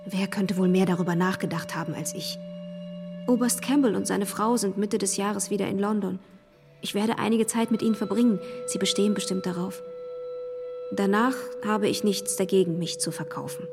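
A woman acting a polite but proud young gentlewoman of small means in a period radio play. Her voice is mid-pitched, restrained and firm, set in a reverberant room with faint music. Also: normal speed, lightly acted. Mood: guarded, resolute.

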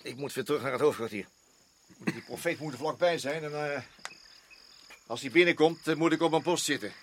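An actor the playing a worried, hard-nosed garrison officer in a radio drama, urgent and emphatic, often repeating himself. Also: male voice, fairly high pitch, a little fast, slight background noise, close to an everyday voice. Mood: sceptical, urgent.

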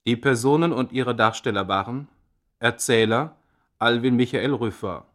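A man's voice: medium pitch, normal speed, fairly bright tone. A man reading scripted radio drama credits as an announcer.

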